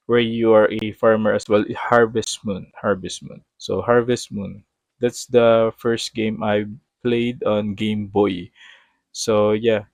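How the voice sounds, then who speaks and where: A man's voice: lowish voice, darkish tone, no room sound. A man in his thirties with a Filipino accent, a native Tagalog speaker, chatting casually while playing a farming game and often stopping to correct or search for his words. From Philippines. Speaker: gaming vlogger. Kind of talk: casual gameplay commentary.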